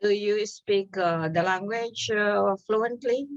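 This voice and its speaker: A middle-aged woman hosting an interview over a video call, forming her questions slowly with many pauses and fillers. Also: medium pitch, no echo, highs cut off. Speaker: host. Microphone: video call webcam.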